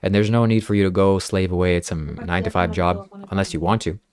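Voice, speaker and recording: A man's voice: low-pitched, fairly even intonation, quick pace, darkish tone, smooth, dry recording, studio quality. A man whose first language is Ukrainian, hosting a casual podcast and earnestly reading viewer comments aloud. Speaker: podcast host. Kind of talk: casual livestream podcast chat.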